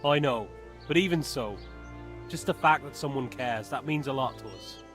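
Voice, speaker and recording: An actor playing a troubled, emotional village husband in a video game. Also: male voice, mid-range voice, normal speed, close to an everyday voice. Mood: grateful. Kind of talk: dramatic video game dialogue. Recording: music clearly under the voice.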